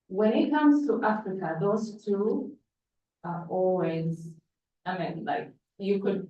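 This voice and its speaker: A woman's voice: medium pitch, mellow. A woman in her forties from Uganda whose first language is Luganda, telling a personal story in a conference speech and often restarting phrases. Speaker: panel speaker. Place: large hall at a conference. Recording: echoey hall from far off, dull.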